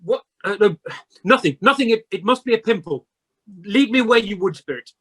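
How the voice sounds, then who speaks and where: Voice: medium pitch, expressive, normal speed, fairly bright tone, slightly rough. An actor playing a grumpy, flustered old male miser in a radio play, blustering and stammering. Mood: moved.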